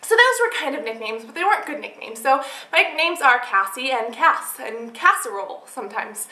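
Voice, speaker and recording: A young woman vlogger chatting casually to her viewers in an animated, rambling way. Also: sits high, fairly quick. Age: young adult. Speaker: beauty and lifestyle vlogger. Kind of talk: casual chat answering tag questions to camera. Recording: echoey room.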